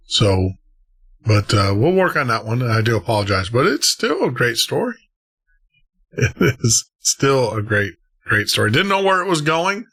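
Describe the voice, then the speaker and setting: Chatty man with a bright voice. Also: mid-range voice. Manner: chatty, apologetic, laughs easily. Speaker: podcast host. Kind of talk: casual chat.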